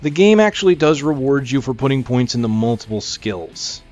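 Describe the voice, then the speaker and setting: A man's voice: medium pitch, animated, moderate pace, a little mellow, lo-fi sound. A man with a mid-range voice presents a game as he plays it. He explains in a casual, conversational way, thinking aloud and correcting himself. Faint music sits behind his voice. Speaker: gamer presenting a game preview. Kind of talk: casual gameplay commentary, explaining as they play.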